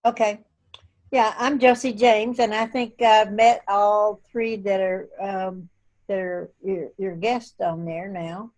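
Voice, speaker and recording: An elderly woman in her eighties talks slowly on a video call. She rambles from thought to thought with plenty of pauses. Her pitch sits right in the middle. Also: fairly even intonation, a little mellow, muffled recording. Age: 80s. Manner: rambling, meandering talk with frequent pauses. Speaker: call participant. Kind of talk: casual video-call chat.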